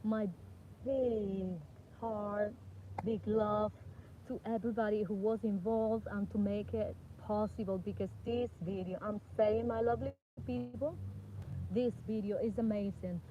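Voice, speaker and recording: A woman's voice: mid-range voice, fairly even intonation, unhurried. A singer-songwriter woman originally from the Dominican Republic, chatting casually to camera and rambling with self-corrections. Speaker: singer-songwriter and music producer. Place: outdoors with flies around. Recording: dull lo-fi sound with faint background noise.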